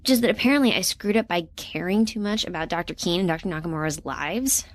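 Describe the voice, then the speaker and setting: A woman's voice: on the low side, quick pace, no echo, lightly acted. A woman playing an earnest, easily indignant young intern in a science fiction audio drama, with informal, emphatic, youthful phrasing. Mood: upset, indignant. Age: young adult. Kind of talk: naturalistic audio drama acting. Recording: audio drama with clear background ambience.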